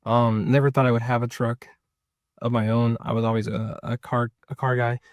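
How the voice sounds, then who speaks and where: A man's voice: mid-range voice, level tone, normal speed, no room sound, clean studio sound. A man of about thirty chatting casually to his viewers as a vlogger, rambling and repeating himself for emphasis. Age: around 30. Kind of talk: casual personal chat to viewers.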